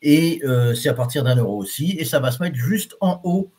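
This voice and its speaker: A man's voice: medium pitch, lively intonation, normal speed, warm tone. A man teaching reflexology on a live stream, talking casually to his viewers with many fillers and restarts and reading out their comments. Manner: reads viewer comments aloud.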